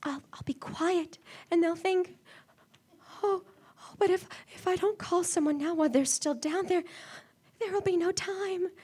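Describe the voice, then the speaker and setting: A woman's voice: extremely high pitch, unhurried, a little mellow. A woman playing a nervous, demanding invalid wife, stammering and pleading in a 1940s radio suspense drama. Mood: frightened, hushed. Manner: agitated, stammering, imperious, pleading.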